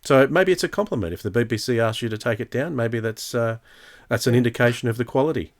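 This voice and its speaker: A man's voice: medium pitch, fast. A man hosting a podcast, chatting casually and thinking aloud as he goes. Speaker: podcast host.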